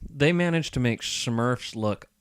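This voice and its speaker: A man's voice: mid-range voice, lively intonation, unhurried, clear, resonant tone, dry recording, studio quality. A man talking casually as a guest on a podcast, trading opinions with the host. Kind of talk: casual chat.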